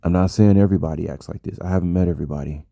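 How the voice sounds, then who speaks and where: A man's voice: low-pitched, steady tone, very fast, creaky, warm tone, no room sound, muffled recording. An American man speaking in a casual personal monologue to his audience, rambling and repeating phrases for emphasis. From United States. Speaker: vlogger.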